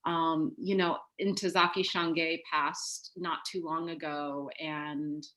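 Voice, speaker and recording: Woman author from the us chatting reflectively on a video call, voice a bit low. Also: little pitch movement, slow, sharp tone. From United States. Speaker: author, panel guest. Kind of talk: reflective panel conversation. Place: home room on a video call. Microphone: video call microphone.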